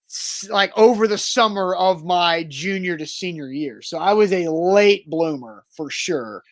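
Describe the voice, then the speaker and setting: A man's voice: high voice, a little slow, no room sound. A man co-hosting a sports talk show, matter-of-fact and teasing, laying out his points with pedantic precision. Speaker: sports radio co-host. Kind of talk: casual sports talk banter.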